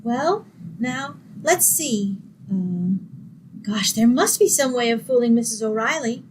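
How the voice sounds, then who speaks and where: A woman's voice: on the high side, expressive, measured pace, background noise. A woman playing a sweet, naive, scatterbrained young roommate in an old-time radio comedy, earnest and innocent. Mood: earnest, scheming. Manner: earnest, innocent, dim-witted.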